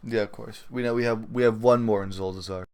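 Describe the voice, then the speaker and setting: A man with a fairly low voice hosts a gaming podcast. He comments casually, wandering a little as he feels out his thoughts. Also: steady tone, brisk, clear, resonant tone. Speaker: podcast host.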